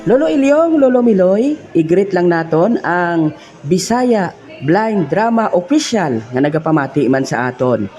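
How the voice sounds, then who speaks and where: A woman's voice: fairly low pitch, measured pace, dull audio. A woman voicing a cheerful, teasing old grandpa who hosts a comedy radio program with exclamations and announcements. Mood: upbeat. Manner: animated, exclaiming, giggly. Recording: music behind the voice.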